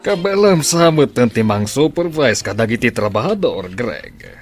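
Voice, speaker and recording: A man's voice: mid-range voice, sing-song, moderate pace, faint music behind, lightly acted. A man playing a trusting restaurant boss in a radio drama, with a Filipino accent. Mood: authoritative. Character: trusting restaurant boss, father figure in authority.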